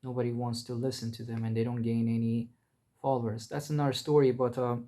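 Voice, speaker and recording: A man from Iran giving an unscripted history talk, halting, with frequent pauses and hesitations between phrases. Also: medium pitch, flat delivery, dark tone. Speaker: history commentator.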